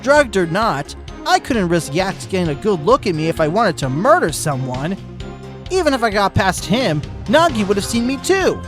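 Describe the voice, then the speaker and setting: Guy putting on a hyped male sloth witness, yelling like a gym coach, pitch bouncing all over, music humming behind. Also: very animated, moderate pace. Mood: pumped. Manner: loud, peppy coach-like shouting.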